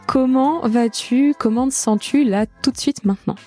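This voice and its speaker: A woman's voice: on the high side, normal speed, a little mellow, soft background music. A woman in her late thirties hosting an intimate conversational podcast. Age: late 30s. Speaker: podcast host.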